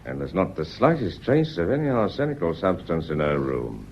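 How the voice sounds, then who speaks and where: An actor playing a dogged British police inspector in a radio drama, politely menacing, deferential in address but insistent and probing. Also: male voice, sits low, wildly swooping pitch, moderate pace, full character voice. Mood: pointed, suspicious. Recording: muffled old radio recording, clear background noise.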